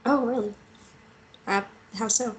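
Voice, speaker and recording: A woman's voice: a little high, fairly even intonation, a little slow, close to an everyday voice. A woman playing a tender, hesitant young woman in a naturalistic fantasy romance table read. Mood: shy, teasing. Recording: noisy recording with room ambience.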